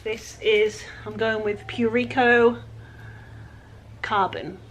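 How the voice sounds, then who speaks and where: A woman with a croaky, raspy voice, chatting casually while she works through a furniture project. She sounds a little breathless and often lets her sentences trail off quietly. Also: fairly high pitch, steady tone, takes it slowly, slight background noise, low-quality recording. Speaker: furniture flipper. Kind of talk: casual how-to chat while working.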